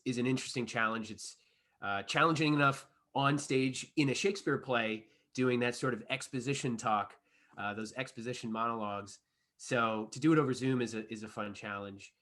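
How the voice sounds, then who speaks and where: Bright, forward-voiced man, considered delivery. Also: mid-range voice. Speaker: stage actor. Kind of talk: casual interview chat.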